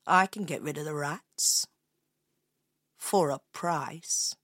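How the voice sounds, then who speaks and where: A woman voicing a calm, merry male piper from a fairy tale, measured and quietly confident. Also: low-pitched, dry recording, clean studio sound, voice pushed down, heavily acted. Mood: calm, sly. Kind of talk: storybook character voice.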